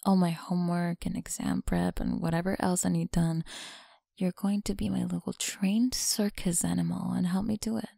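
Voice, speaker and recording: A woman voicing a mean, teasing tsundere college bully in an intimate ASMR roleplay, creaky and often dropping into breathy whispers. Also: lowish voice, flat delivery, normal speed, mellow, dry recording, exaggerated voice. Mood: domineering, teasing.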